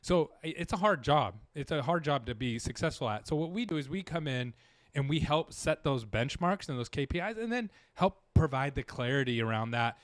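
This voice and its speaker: A man's voice: fairly high pitch, animated, brisk, no room sound, studio quality. A man, a dental marketing guest in a casual interview, talking earnestly with many restarts. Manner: earnest, rambling, full of restarts.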